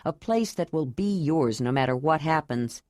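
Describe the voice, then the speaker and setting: A woman voicing an earnest, loving young man in an audiobook, lowering her voice to speak calmly. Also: moderate pace, dry recording, clean studio sound. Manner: low, calm and earnest.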